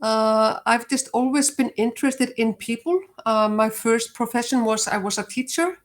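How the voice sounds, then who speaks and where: Older Icelandic woman, higher voice. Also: moderate pace. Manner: reflective, laughs often, many fillers. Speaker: guest, HR leader. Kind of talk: casual interview answer.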